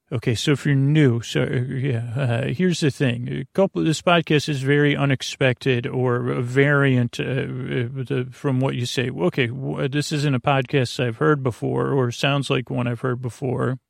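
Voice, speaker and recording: Creaky, meandering man hosting a sleep podcast. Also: medium pitch, fairly even intonation, a little slow, no echo, studio quality. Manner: meandering, stammering, goes off on tangents; lulling, soothing. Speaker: host of a bedtime sleep podcast. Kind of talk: rambling bedtime monologue.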